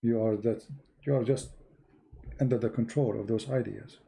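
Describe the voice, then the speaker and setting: Gravelly-voiced blunt man preaching. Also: medium pitch, steady tone, normal speed, warm tone. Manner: blunt, emphatic, repeats words, non-native grammar. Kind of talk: live-streamed religious talk.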